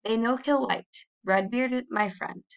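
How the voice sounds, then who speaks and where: A woman's voice: fairly high pitch, a little slow, creaky, pitched above the performer's own voice. A woman voicing a grave, loyal, stoic man in a storybook, speaking tersely and solemnly in broken English.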